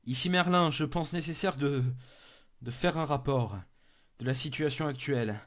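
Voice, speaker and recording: Male performer as searcher in a horror audio drama, radioing reports with urgent fear, forceful bright tone. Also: a little high, moderate pace, close to an everyday voice. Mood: grave, reporting. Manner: urgent, whispering, breaking into screams and sobs. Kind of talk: dramatised horror story acting.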